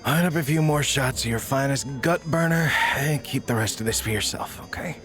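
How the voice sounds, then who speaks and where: A man's voice: medium pitch, brisk, a bit bright, soft background music, lightly acted. An actor playing a bitter, drunken, grieving father in an audio drama, sarcastic, profane and weary. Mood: gruff, dismissive.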